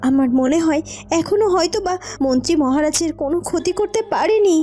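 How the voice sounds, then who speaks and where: A woman's voice: very high-pitched, expressive, brisk, warm tone. A woman playing a brave, reassuring young heroine in a cartoon, earnest and encouraging. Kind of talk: Bengali cartoon voice acting. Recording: faint music behind. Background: faint background music.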